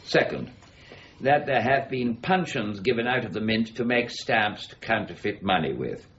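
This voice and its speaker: An actor playing a proud, irascible older mint official in a period radio drama, brusque, formal and precise. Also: male voice, fairly low pitch, lively intonation, normal speed, a bit bright. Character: proud, irascible older male royal mint warden, Isaac Newton. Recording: dull, faintly noisy radio recording.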